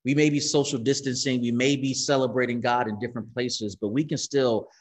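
A man's voice: medium pitch, fairly even intonation, brisk. A man in his forties, a pastor leading a church service over a video call, encouraging and affirming as he reads out messages. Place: small studio room with brick wall and screen. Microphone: webcam video call.

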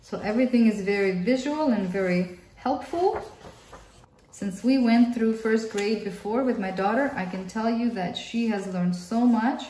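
A woman's voice: a little high, unhurried, dark tone. A woman in her thirties, a Russian native speaker with a Russian accent, explaining homeschool workbooks in a friendly way as a mother and often repeating words for emphasis. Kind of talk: casual workbook flip-through review. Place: indoor room at a table. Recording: roomy, distant mic, faint hiss.

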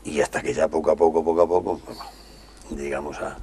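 A man with a Castilian Spanish accent chats in a very low voice. He talks fast in halting, repeated phrases, with faint room noise behind him. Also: fairly even intonation, dark tone. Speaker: folk dance association member. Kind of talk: casual conversation. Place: indoor room, small folk museum.

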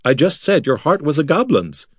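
A man voicing a fussy, excitable young nobleman who speaks in a flamboyant, theatrical way. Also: a little high, normal speed, husky, dry recording, clean studio sound, pitched above the performer's own voice. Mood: bewildered. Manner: flamboyant, theatrical, easily flustered.

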